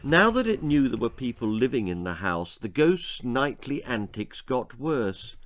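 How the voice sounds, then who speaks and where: Man, children's horror storyteller, theatrical, suspenseful, unhurried drawn-out phrasing, medium pitch. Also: very animated. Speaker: author reading own story aloud. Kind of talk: dramatic scripted storytelling for children, comic horror. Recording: music behind the voice. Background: music.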